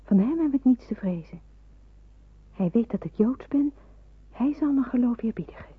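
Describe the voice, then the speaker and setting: A young refugee in a wartime radio play, with a very husky, breathy voice. She talks softly, with earnest hesitation. The recording is narrow and phone-like. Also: a little high, animated, normal speed. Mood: reflective, devout. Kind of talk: Dutch radio drama acting.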